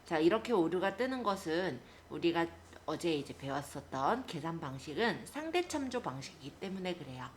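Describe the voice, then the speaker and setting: A woman instructor with a lowish voice and animated pitch movement walks learners through software steps. She explains each step politely, as a teacher would. Faint to clear background noise sits behind her voice. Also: moderate pace.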